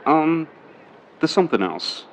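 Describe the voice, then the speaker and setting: An actor playing a lovestruck young sailor son, earnest and halting, gushing boyishly in a 1950s-set audio drama. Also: male voice, fairly high pitch, big pitch swings, slight background noise. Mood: nervous, confiding.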